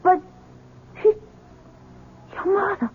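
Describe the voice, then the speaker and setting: A haughty, indignant lady in an old radio melodrama. Her very high, breathy voice moves slowly and imperiously. The recording is muffled. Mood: shocked, frightened. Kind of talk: radio drama acting.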